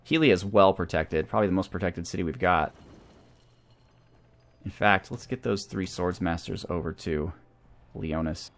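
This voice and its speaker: Low-voiced man commentating a strategy game. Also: normal speed, vocal fry, pauses often. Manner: talkative, thinking aloud. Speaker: gaming channel host. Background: video game music.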